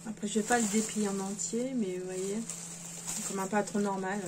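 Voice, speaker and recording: A woman's voice: medium pitch, steady tone, moderate pace. Rambling woman with noise behind. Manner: rambling, hesitant, many fillers and restarts. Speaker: sewing vlogger. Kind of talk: casual chat to camera. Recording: noisy home recording.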